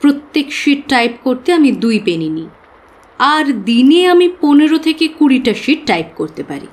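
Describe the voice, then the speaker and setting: An actress playing an earnest young working woman who confides expressively and with pauses in an audio drama. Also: high-pitched, very animated. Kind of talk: dramatised audio story acting.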